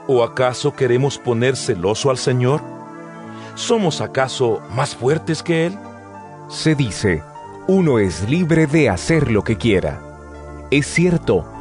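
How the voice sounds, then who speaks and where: An actor playing an apostle earnestly exhorting believers in a dramatized, measured scripture reading. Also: male voice, mid-range voice. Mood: solemn, instructive. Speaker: Bible audio narrator. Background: background music.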